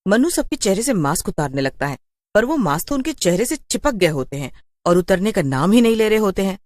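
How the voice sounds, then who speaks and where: A woman's voice: medium pitch, big pitch swings, a little fast, a little mellow, no room sound. A woman storyteller giving scripted narration of a horror tale.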